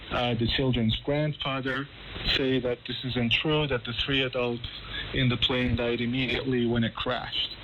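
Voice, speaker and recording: A man's voice: medium pitch, muffled recording. Male correspondent, conversational and explanatory with some hesitation; shortwave radio with faint background noise. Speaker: journalist interviewed as correspondent. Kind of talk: explanatory live news interview.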